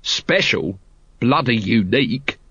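A man voicing an exasperated, sarcastic captain of a city watch with a gruff, snappish manner. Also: medium pitch, lively intonation, slow, sharp tone, no echo, lo-fi sound, heavily acted. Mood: sardonic disbelief.

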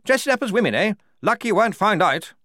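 A man voicing a blustering, hearty young army major for an audiobook, booming and jovial, tacking "eh?" onto his lines. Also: big pitch swings, fast talker, pushed and bright, no room sound, studio quality, exaggerated voice. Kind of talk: audiobook character voice.

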